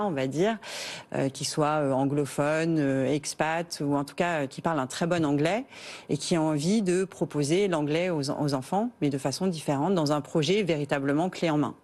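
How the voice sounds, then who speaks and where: A woman from Rhône-Alpes whose first language is English, a company founder answering TV interview questions earnestly, with frequent hesitant pauses. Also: deep voice, darkish tone. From France, Rhône-Alpes. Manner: earnest, explains at length with many "euh" pauses.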